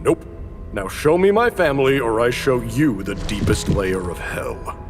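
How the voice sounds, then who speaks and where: A man's voice: mid-range voice, big pitch swings, measured pace, big-room sound, over music. An actor playing a grumpy, fretful, blunt retired hitman in a comedic anime parody. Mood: exasperated. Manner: exasperated, blunt.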